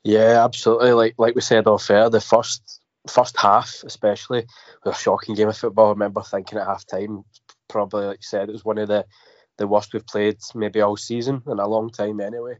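Croaky Scottish man with a cold. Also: lowish voice, flat delivery, brisk, no echo. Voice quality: a bit croaky. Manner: repeats words, fillers. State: has a cold. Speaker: podcast panelist. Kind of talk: casual football podcast chat. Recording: dry, dull lo-fi podcast audio.

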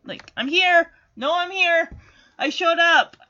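A woman's voice: extremely high pitch, slow, pushed and bright, muffled recording, full character voice. A woman voicing an earnest, bashful little cartoon boy who is sincere and a bit hesitant. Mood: devoted.

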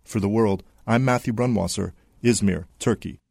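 A male reporter reading a scripted radio news feature in a measured, even voice. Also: fairly low pitch, creaky, husky, dry recording, studio quality.

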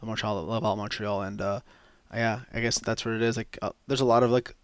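A young man from Quebec, a hockey player guest, chatting casually in a relaxed, rambling way with lots of fillers. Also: on the low side, brisk, clean tone, dry recording. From Quebec, Canada. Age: young adult. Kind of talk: casual interview chat.

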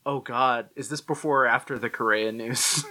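Bright-voiced joking co-host, man. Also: medium pitch, fairly even intonation. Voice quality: joking and quick-reacting. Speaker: podcast co-host. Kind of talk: casual podcast chat.

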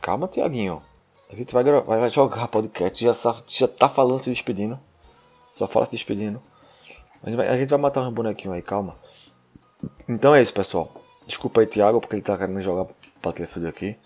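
Casual co-host, young man from northeast Brazil, low voice, hesitant, faint music playing under his talk. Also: brisk, a little mellow. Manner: hesitant, with restarts and light laughs. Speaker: podcast co-host. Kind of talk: casual podcast chat.

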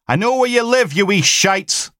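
A man voicing an irritable, sweary police detective with a Scottish accent and a gruff, impatient manner, in an audiobook. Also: high voice, expressive, moderate pace, bright, forceful tone, dry recording, clean studio sound, pitched above the performer's own voice. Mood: furious bellow.

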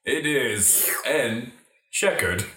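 A man's voice: mid-range voice, expressive, drawn-out words, bright, forceful tone, heavily acted. A man playing a simple, earnest young lumberjack husband in an improvised comedy radio play. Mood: bashful. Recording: echoey room recording.

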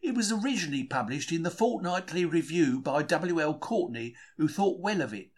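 A British man with a fairly high voice reads a diary in the first person. His pitch swings in a very lively way, and his telling is wry and colloquial, full of old-fashioned slang. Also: moderate pace. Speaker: reader of a diary for a podcast. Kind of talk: scripted first-person narration, dramatised diary reading.

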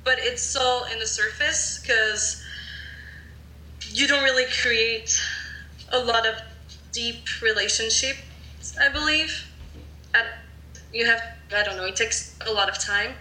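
A woman's voice: a little high, takes it slowly, pauses often, pushed and bright. A young woman from France with a French accent, chatting casually on a podcast and hedging her points with fillers. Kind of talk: casual chat. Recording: roomy echo.

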